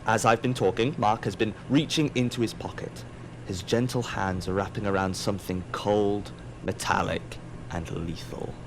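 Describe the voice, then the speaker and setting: A man's voice: fairly low pitch, steady tone, moderate pace, background noise, close to an everyday voice. A man in an audio drama playing an aloof, wry, digressive otherworldly narrator who muses on mortals. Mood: wry, intrigued. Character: aloof, musing otherworldly narrator observing mortals, easily distracted.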